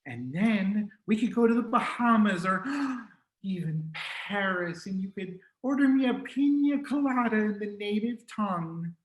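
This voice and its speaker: A man's voice: very high voice, echoey room, pitched above the performer's own voice, low-quality recording. A man doing a nagging, fussy mother. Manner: chiding, fussy. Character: nagging, doting middle-aged mother.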